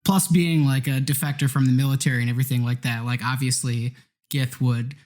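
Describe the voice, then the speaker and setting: A man's voice: medium pitch, little pitch movement, a little fast, darkish tone. Man chatting about his game character. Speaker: player on a tabletop role-playing podcast. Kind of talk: casual chat, describing a character.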